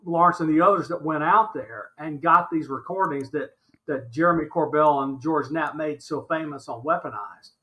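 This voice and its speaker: Interview guest: American man around seventy, forceful high voice, stresses points by repeating them. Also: rough recording. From Alabama, United States. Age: older, about 70. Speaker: interview guest recounting his experiences. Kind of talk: animated conversational argument.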